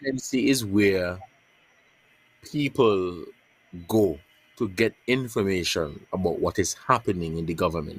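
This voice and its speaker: Husky deep-voiced man. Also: no echo. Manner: measured, repeats words while searching for them. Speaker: journalist guest. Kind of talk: earnest interview answers.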